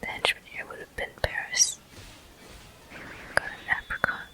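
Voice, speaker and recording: A person whispering ASMR commentary over a video game walkthrough in a hushed, unhurried, explanatory way, pausing between short phrases. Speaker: gameplay host.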